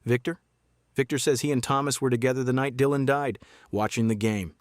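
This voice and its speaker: An actor playing a guarded small-town sheriff in a noir audio drama, laconic, deadpan and measured. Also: male voice, mid-range voice, dry recording, clean studio sound, natural voice.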